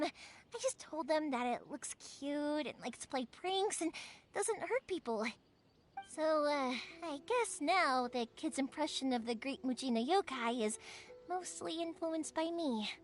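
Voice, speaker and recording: A woman playing a cheerful, warmhearted young fireworks maker in a video game, chatty, bubbly and expressive. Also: high-pitched, measured pace. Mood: easygoing, reassuring. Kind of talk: animated video game voice acting. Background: background music.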